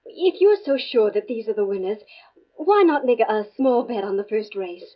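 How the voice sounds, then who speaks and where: An actress playing a practical, persuasive fiancee in a 1950s radio drama. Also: female voice, on the high side, expressive, moderate pace. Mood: coaxing, skeptical. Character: practical, coaxing fiancee of a stuffy academic. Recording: muffled, faintly noisy 1950s radio recording.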